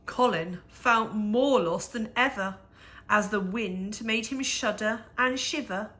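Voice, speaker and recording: A woman reading a rhyming picture book aloud for young children, with measured, expressive storytelling and dramatic emphasis. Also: medium pitch.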